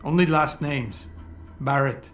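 A man's voice: fairly high pitch, slow, a little hiss or hum. An actor playing a middle-aged, guilt-ridden, defeated doctor in a video game, brooding and formal in his delivery. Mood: subdued, resigned. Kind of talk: dramatic video game cutscene acting.

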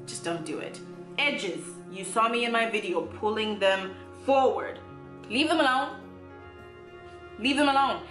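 A woman's voice: a little high, measured pace, long pauses, over music. Chatty woman in a roomy room. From Zimbabwe. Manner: chatty, upbeat, playful asides. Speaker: natural hair vlogger. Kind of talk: casual tutorial chat. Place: bedroom, indoors.

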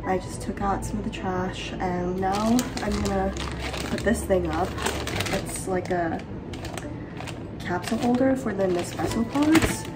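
A woman's voice: mid-range voice, measured pace, far from the mic, very noisy. A young woman from Hawaii with a stuffy, congested voice, chatting casually about her day at home and laughing at herself. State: congested from a cold. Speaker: vlogger. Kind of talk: casual vlog chat. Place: small apartment kitchen and living room, indoors.

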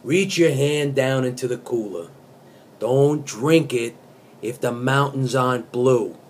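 Man in his fifties, slow deliberate speech, mock-serious recitation, mid-pitched voice, light room noise. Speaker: comic host reading song lyrics as poetry. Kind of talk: dramatic spoken recitation of pop lyrics with casual asides. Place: small indoor room.

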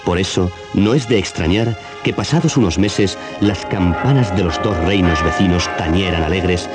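A man's voice: deep voice, normal speed. A man with a Castilian Spanish accent narrating a scripted children's story on an old cassette. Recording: 1980s cassette, music behind.

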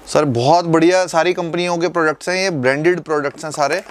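A man in his thirties, a shopkeeper politely pitching perfumes and prices, calling the host sir. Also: quick pace. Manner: polite, calls the host sir, steady salesman. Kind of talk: sales pitch. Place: indoor perfume shop.

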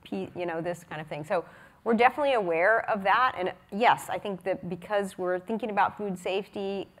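A woman's voice: mid-range voice, brisk. A woman panelist speaking fluently and with an upbeat tone at a conference session.

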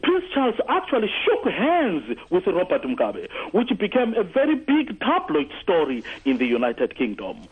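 A man's voice: high-pitched, wildly swooping pitch, moderate pace, few pauses, husky. A man from Zimbabwe calling into a radio talk show, arguing earnestly and stumbling through many fillers and restarts. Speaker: caller. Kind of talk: call-in radio commentary. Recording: tinny phone line on radio.

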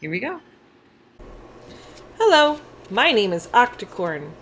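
A woman in her thirties talks to children in an encouraging, casual way. Her intonation is very lively, and she is recorded at home with noticeable room noise. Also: a little high, slow. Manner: expressive, encouraging, addressing children. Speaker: read-aloud presenter. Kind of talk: casual children's read-aloud introduction. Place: small furnished room at home.

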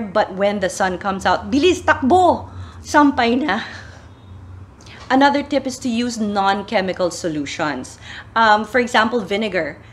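A middle-aged woman with a Filipino accent, chatting casually to camera with sustainable laundry tips, slipping in Tagalog phrases, recorded in a small plain room. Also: mid-range voice. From Philippines. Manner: chatty, switches into Tagalog phrases, animated gestures. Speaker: sustainability vlogger.

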